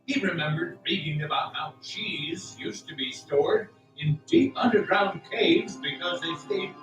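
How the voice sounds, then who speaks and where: A man's voice: a little high, lively intonation, measured pace. A man narrating a scripted audio drama in a theatrical, suspenseful storytelling style. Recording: roomy, distant, music underneath.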